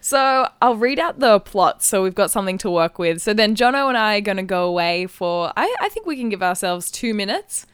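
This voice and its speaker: Female podcast host, smooth and clear, chatty and giggly, close dry studio sound. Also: medium pitch, animated, a little fast, hardly any pauses, dry recording. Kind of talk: casual chat, explaining a game. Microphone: close podcast microphone.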